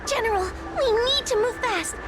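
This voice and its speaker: A woman's voice: extremely high pitch, normal speed, heavy background noise. An actress playing a timid, polite and worried little girl in an anime-style video game. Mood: anxious, urgent. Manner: anxious, polite. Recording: loud game music behind the voice.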